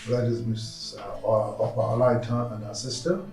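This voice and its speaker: An actor playing a polite, hesitant hospital doctor who keeps patients calm. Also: male voice, medium pitch, normal speed, faint music behind, lightly acted. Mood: soothing. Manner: soothing, hesitant with many uhs. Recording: echoey room.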